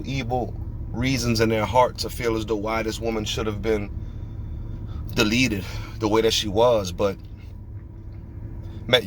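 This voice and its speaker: A man's voice: medium pitch, slight background noise. Preachy, emphatic male podcast host. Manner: emphatic, preachy, fires rhetorical questions.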